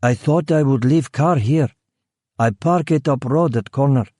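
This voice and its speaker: A man's voice: medium pitch, measured pace, warm tone, no echo, clean studio sound, pitched above the performer's own voice, lightly acted. A man voicing a hitman who poses as a lost foreign driver, with a put-on Eastern European accent and broken English. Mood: feigned helpless. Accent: fake Eastern European. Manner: broken English, feigning bewilderment. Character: killer faking clueless foreign stranded driver, male.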